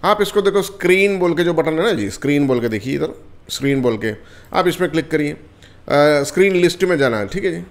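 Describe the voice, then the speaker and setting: Man, software tutorial, slightly high voice, repetitive friendly walkthrough. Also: expressive, brisk. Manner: repetitive, addresses viewers as friends, many fillers. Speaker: software demonstrator. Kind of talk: screen-recorded software tutorial.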